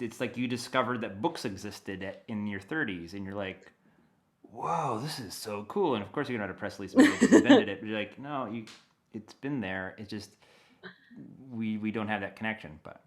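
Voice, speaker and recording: A man's voice: fairly low pitch, lively intonation, brisk. A middle-aged American man talking casually as an interview guest, explaining things in a rambling, think-aloud way. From Maine, USA. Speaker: interview guest, audio dramatist.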